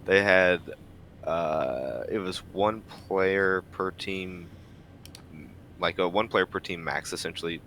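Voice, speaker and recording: A man's voice: low-pitched, takes it slowly, a bit bright, slight background noise. A man co-hosting a podcast, chatting casually about sports with friends. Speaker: podcast co-host.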